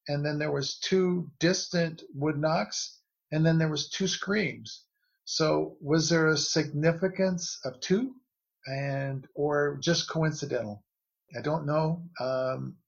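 A man giving casual explanatory commentary as a guest analyst, measured and tentative, on a slightly lo-fi recording. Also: fairly high pitch, gravelly. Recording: slightly dull, lo-fi sound.

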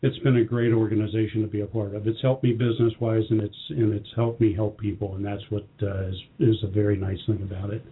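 A middle-aged or older man with a slightly husky voice, answering interview questions in a plain-spoken, affirming, conversational way. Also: fairly low pitch, level tone, fast talker, hardly any pauses. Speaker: company president, interview guest. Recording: muffled, band-limited lo-fi audio.

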